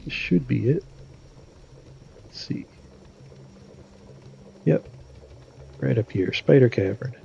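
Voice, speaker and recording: A man's voice: medium pitch, lively intonation, slow pace, gravelly, long pauses, a little mellow, muffled recording, a little hiss or hum. A man with a stuffy, nasal voice from a cold, casually explaining his moves while streaming a game and thinking aloud with many fillers. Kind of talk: casual gameplay commentary.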